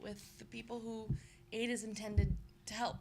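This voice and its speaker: A woman's voice: fairly high pitch, level tone, normal speed, vocal fry, a little hiss or hum. A woman from rural Nebraska answering interview questions about her development work in a thoughtful, earnest way. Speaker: guest, development worker.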